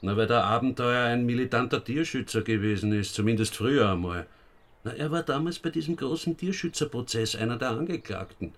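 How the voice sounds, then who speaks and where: An actor playing a brisk, matter-of-fact police investigator with an Austrian accent in a radio drama, businesslike and insistent. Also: male voice, medium pitch, close to an everyday voice. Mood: explaining, dry.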